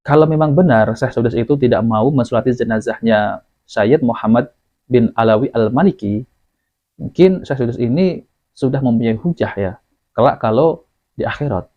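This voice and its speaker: Mellow-voiced Indonesian man, dull lo-fi audio. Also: mid-range voice, normal speed, long pauses. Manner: repetitive, often tags phrases with "ya". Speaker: commentary host. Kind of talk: informal religious video commentary.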